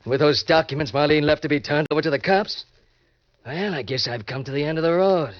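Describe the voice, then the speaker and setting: A man's voice: a little high, brisk, natural voice. An American actor playing a desperate, tough-talking male impostor who narrates his crime in melodramatic 1940s radio style. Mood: bitter resignation. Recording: dull, lo-fi old radio recording.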